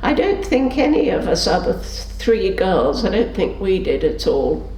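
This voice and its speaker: A woman's voice: sing-song, normal speed, darkish tone. An older woman recounting her memories in an oral history interview, voicing the people in her stories as she goes. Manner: storytelling, acts out quotes with pitch shifts. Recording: roomy sound with faint background noise.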